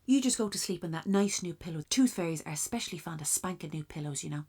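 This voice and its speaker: A woman's voice: on the low side, lively intonation, fast talker, darkish tone, no echo, studio quality. A woman playing a weary, exasperated Irish mother made homeless with her children, sardonic and colloquial, in a radio drama. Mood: gentle, tired.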